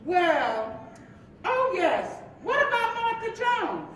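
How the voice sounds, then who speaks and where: Woman playing a sassy high-pitched gossipy married friend. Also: takes it slowly, heavily acted. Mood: teasing. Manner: animated, gossipy storytelling with folksy endearments. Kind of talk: live stage reading of a play. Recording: echoey hall from far off, faint rumble.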